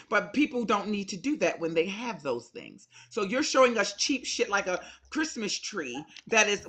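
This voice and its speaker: A man's voice: high-pitched, sharp tone. A man on an online panel, talking in a blunt, mocking and insulting way. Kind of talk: casual panel chat.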